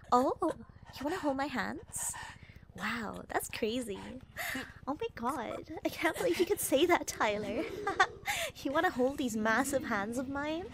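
A woman's voice: high voice, noisy background. A woman streaming a game, sniffly, teasing her chat in a playful, profane way. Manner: playful, teasing, swears freely. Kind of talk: casual gameplay livestream chat.

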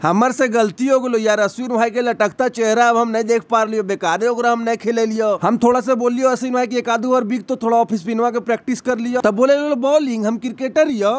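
A man's voice: very high-pitched, dry recording. A man dubbing comic lines over cricket press-conference clips, rambling and joking in a with a close mic. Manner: joking, rambling dialect banter. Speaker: comedy dubber.

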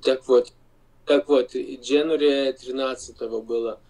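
A Lithuanian-accented man in his forties, a non-native speaker, argues insistently over a video call, stopping to search for words. His mid-pitched voice has a gravelly, creaky texture. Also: fairly even intonation, a little mellow, rough recording. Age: 40s. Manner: insistent, halting non-native speech with pauses. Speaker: video-chat guest. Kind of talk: heated video-chat argument.

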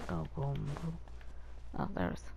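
A woman's voice: low-pitched, lively intonation, takes it slowly, creaky. A woman streaming a game with casual, offhand chat, muttering to herself as she plays. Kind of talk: casual solo gameplay chat. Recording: game music behind the voice.

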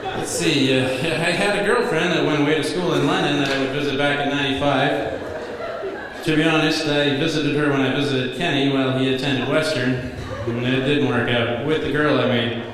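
Deadpan best man in echoey hall. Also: mid-range voice, moderate pace, crowd noise. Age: 30s. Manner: dry comic storytelling, deadpan exaggeration. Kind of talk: wedding toast read from notes. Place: large banquet hall at a wedding reception. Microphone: podium microphone. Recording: echoey banquet hall with reverb. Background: guests laughing.

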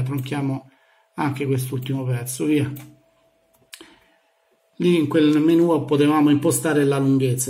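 A man giving an informal hands-on software tutorial, thinking aloud as he narrates each step. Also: medium pitch, gravelly. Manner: thinks aloud, narrating each click with small verbal sound effects. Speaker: tutorial presenter. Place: indoors in a room. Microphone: handheld phone filming a laptop screen.

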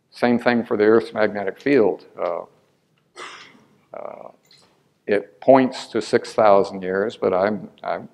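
Low gravelly older man, halting. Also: darkish tone. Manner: hesitant, many fillers and restarts. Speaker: lecturer answering audience questions. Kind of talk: Q&A after a lecture. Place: large hall with stage. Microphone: lapel mic.

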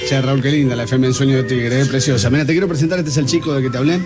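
A man's voice: medium pitch, steady tone, rapid-fire, music in the background, lightly acted. An actor playing a well-meaning, easily flustered man minding a boy, coaxing in an Argentine accent in a comedy radio play. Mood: pleading. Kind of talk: comedy radio theatre acting.

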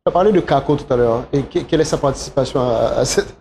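Some faint noise sits behind a man with a Haitian accent. He hosts a talk show, asking questions in a conversational, halting way at a medium pitch. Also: warm tone. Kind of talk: conversational interviewing. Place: small talk show studio, behind a desk. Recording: noticeable background noise.

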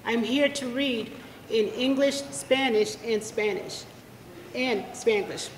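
A woman's voice: fairly high pitch, slow pace, a little hiss or hum. A woman speaking at a library poetry reading from a podium microphone, switching freely between English and Spanish. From United States. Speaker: poet reading at a library event. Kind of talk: spoken introduction to a poetry reading. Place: library event room. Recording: echoey room, long reverb.

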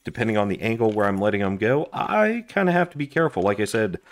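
A man who is hoarse and losing his voice, chatting casually with lots of fillers while he reviews his gear at a desk indoors. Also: fairly low pitch, animated, fast. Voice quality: raspy. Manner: chatty, rambling, with frequent fillers and restarts. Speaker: gear review channel host. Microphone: overhead camera on desk, hands only.

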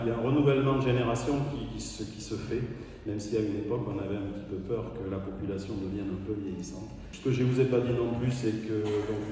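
A man's voice: fairly low pitch, mellow, a little hiss or hum. A man speaking as mayor at a welcome ceremony, hesitant, with many fillers and restarts. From France. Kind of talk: welcome speech. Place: town hall ceremony room. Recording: echoey hall from far off.

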